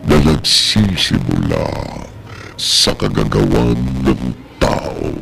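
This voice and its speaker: Extremely deep, gravelly man narrating horror. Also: animated. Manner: ominous, measured, dramatic pauses. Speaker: narrator and announcer. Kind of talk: solemn horror radio narration. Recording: dramatic music behind the voice.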